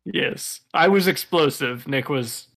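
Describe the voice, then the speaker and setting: A man's voice: mid-range voice, takes it slowly, creaky, a bit bright. A young man co-hosting a casual podcast, teasing his friends with crude jokes.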